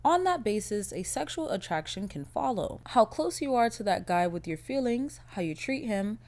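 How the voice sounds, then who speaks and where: A young woman hosting her own podcast, chatting casually in a blunt, profane, animated way, her voice a little raspy from a cold. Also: mid-range voice, moderate pace. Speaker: podcast host. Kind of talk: casual solo podcast chat.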